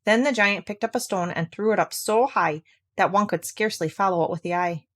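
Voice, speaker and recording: A woman storyteller reads a fairy tale aloud in a mid-pitched voice. It is scripted storybook narration. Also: fairly quick.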